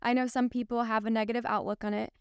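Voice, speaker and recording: Brief: young woman host, high and smooth, enthusiastic and chatty, dry studio mic. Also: level tone, quick pace, dry recording, muffled recording. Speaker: podcast host. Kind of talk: casual podcast chat. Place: small studio set. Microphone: studio mic on boom at the mouth.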